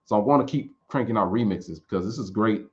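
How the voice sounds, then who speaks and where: A man's voice: lowish voice, quick pace, lo-fi sound. A man in his late thirties, a music producer chatting casually and rambling with restarts while answering viewers. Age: 30s. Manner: loose, rambling, frequent restarts. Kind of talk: casual live chat.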